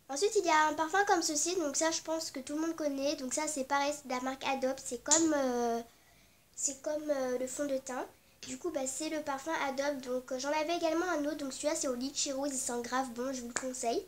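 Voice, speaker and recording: A woman's voice: high voice, steady tone, fairly quick, slight background noise. A young teenage girl from with a cold, chatting casually and rambling about her beauty products, apologetic and full of fillers. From Réunion. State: has a cold, stuffy. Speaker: beauty vlogger. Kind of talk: casual beauty vlog chat.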